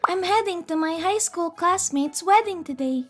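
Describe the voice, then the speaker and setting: A woman's voice: very high-pitched, lively intonation, normal speed. A woman voicing a polite young female college student, narrating and chatting in a scripted manga story. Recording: music behind the voice.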